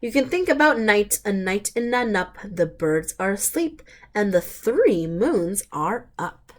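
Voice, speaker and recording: Woman, children's storytime reader, very unhurried pace, medium pitch, expressive drawn-out words. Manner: expressive, drawn-out words, asks the listeners questions. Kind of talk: read-aloud of picture books for young children.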